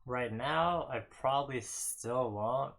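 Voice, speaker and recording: A man's voice: mid-range voice, takes it slowly, vocal fry, a bit bright, a little hiss or hum. A man co-hosting a casual podcast, talking in short, blunt, plain-spoken phrases. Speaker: podcast co-host. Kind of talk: casual group chat.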